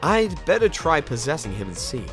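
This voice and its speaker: A curious, polite ghost detective from a video game, acted out by a fandubber. The voice is mid-pitched and moves around animatedly while musing, with faint music underneath. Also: sing-song, moderate pace, fairly bright tone. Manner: reflective, polite, talking to themself. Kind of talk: game fandub narration and dialogue.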